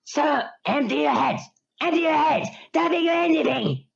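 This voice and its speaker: A whiny, bossy little cartoon boy for a comedy reading. The pitch is very high and pinched with a thick creak, and the delivery is sarcastic and exasperated, on slightly muffled audio. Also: animated, normal speed, full character voice.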